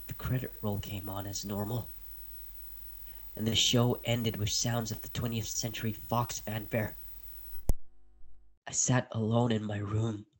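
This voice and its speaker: A man narrating a dark first-person horror story in a deliberate, dramatic way, leaving heavy pauses. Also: on the low side, level tone, slight background noise, poor audio. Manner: slow, deliberate, with dramatic pauses and hushed moments. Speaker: narrator of a dark fiction podcast.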